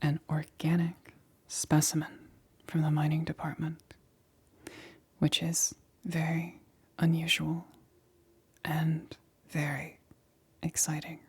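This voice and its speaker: A woman performs an ASMR roleplay as a careful scientist, in a very breathy whisper. Her delivery is slow and fairly flat, with a deliberate, clinical way of giving instructions. The sound is dry, with no room around the voice. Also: deep voice, dry recording. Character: careful, excited female scientist examining an alien specimen.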